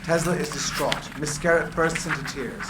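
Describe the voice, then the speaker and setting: A man's voice: on the high side, moderate pace. A man reading screenplay stage directions aloud as dramatic narration at a live reading. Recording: echoey room, clear background noise.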